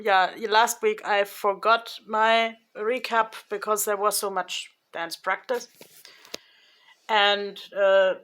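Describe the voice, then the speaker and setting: Slow, hesitant woman vlogger. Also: medium pitch, a bit bright. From Germany. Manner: hesitant, many fillers and restarts; slow, thoughtful delivery. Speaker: YouTuber. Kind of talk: casual vlog recap.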